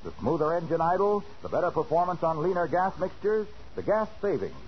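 Jovial commercial announcer, forties radio, fairly high enthusiastic voice, faint noise. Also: male voice, big pitch swings, a little fast. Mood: enthusiastic selling. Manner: florid, enthusiastic. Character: jovial, eager radio pitchman. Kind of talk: 1940s radio advertisement. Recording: dull, faintly noisy old radio broadcast.

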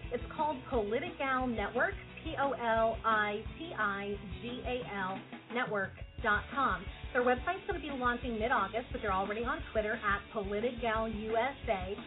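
A woman reads a promotional spot in an encouraging, direct way. Her voice sits a little high. Tinny audio with clear music underneath. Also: normal speed, hardly any pauses. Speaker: radio show host. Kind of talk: promo read.

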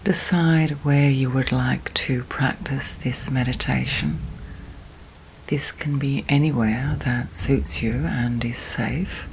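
A woman leads a guided sleep meditation in a soft, breathy, near-whispered voice, soothing, with long pauses between phrases. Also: extremely low pitch, poor audio. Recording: steady storm and rain noise. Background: rain and storm.

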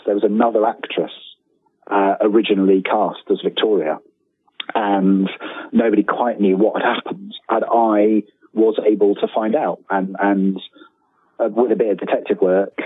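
Male interview guest, lowish husky voice, eager and halting storyteller, heard over a phone-like connection. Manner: hesitant, repeats phrase starts, enthusiastic. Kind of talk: casual interview, anecdotes. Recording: thin phone-like line with room echo.

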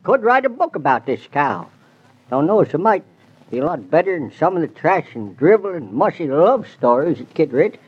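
A chatty old new farmer, high husky voice, bounces all over, muffled old radio. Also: male voice, sing-song, normal speed. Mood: boastful. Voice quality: drawling rustic dialect. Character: folksy old New England farmer, boastful and talkative. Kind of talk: 1940s radio acting.

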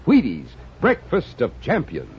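Casting: male commercial announcer, folksy, very swooping mid-pitched delivery, dull old radio sound. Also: wildly swooping pitch, a little slow, a little mellow. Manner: jaunty, folksy. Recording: dull, hissy 1950s radio recording.

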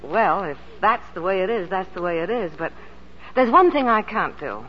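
An emotive, strong-willed leading lady of vintage radio drama, played by an actress. Her medium-pitched voice is theatrical. The recording is muffled with faint noise. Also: very animated, moderate pace, lightly acted. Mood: hesitant. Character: strong-willed ailing female concert pianist, urgent and defiant. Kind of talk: 1940s radio drama acting.

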